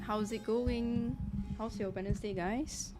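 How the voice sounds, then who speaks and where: A woman's voice: a little high, steady tone, slow pace. A woman live-streamer chatting casually with her viewers, greeting them in a chatty way. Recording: music playing behind the voice. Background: background music.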